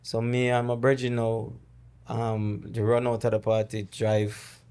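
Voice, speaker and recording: A middle-aged man from Jamaica with a Jamaican accent, a podcast guest who recounts personal loss in a halting, word-searching way. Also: on the low side, completely flat delivery, a little mellow, smooth. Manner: halting, searching for words, with many restarts. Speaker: guest, dancehall artist. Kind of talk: conversational interview, storytelling.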